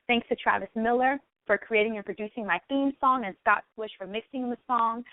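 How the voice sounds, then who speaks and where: A woman's voice: on the high side, no echo, narrow, tinny audio. A woman hosting a radio talk show, speaking in an effusive, complimentary manner.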